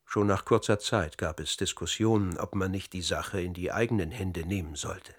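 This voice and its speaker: Measured, low-voiced older psychologist. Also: male voice. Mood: measured, confessing. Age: 50s. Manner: measured, formal, explanatory. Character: Dutch academy psychologist under interrogation, precise and defiant. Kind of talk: radio drama acting, formal testimony.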